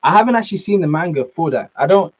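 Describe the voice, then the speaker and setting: A man's voice: fairly high pitch, animated, fast talker, breathy edge. A young man with a British accent chatting casually on a live stream, answering viewer comments in a laid-back way and calling them man and bro. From Norway, raised in the UK. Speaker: fitness streamer. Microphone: laptop mic. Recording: slightly dull lo-fi sound.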